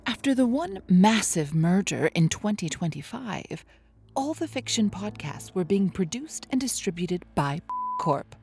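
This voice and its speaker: A woman's voice: mid-range voice, very animated, unhurried, darkish tone. Grave female academic, mid-range voice, interview answers, mockumentary, light music. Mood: solemn. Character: solemn female college professor interviewed as expert.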